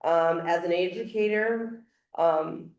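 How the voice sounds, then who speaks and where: A woman, a teachers' union president, reading a steady, formal public comment to a school board. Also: on the low side, slow pace, highs cut off. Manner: steady, formal, self-described loud voice. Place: school board meeting room. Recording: echoey meeting room.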